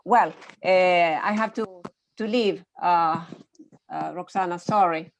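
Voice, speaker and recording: A woman from Argentina who trains teachers talks on a video call. Her voice sits low for a woman. She is friendly and hesitant, filling her pauses with "uh". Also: slight background noise. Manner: friendly, hesitant, with many fillers. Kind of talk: online round-table discussion.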